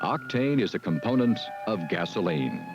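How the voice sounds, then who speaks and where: Measured male film narrator, lo-fi. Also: sits low, very animated. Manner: measured, deliberate delivery. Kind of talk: scripted educational narration. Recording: dull lo-fi film soundtrack with music.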